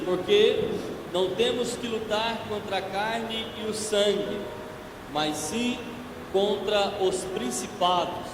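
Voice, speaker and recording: A hoarse man preaching a church sermon, slow and emphatic, growing more forceful as he goes. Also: high voice, background noise. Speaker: evangelist preacher. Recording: echoey church with long reverb.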